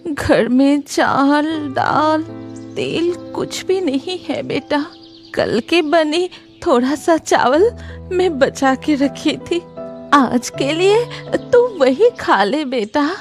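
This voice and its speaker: A woman plays a caring, fretful village mother in a cartoon story. The voice is very high and unhurried, full of tender worry, with faint music underneath. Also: lively intonation, exaggerated voice. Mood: sad, tender.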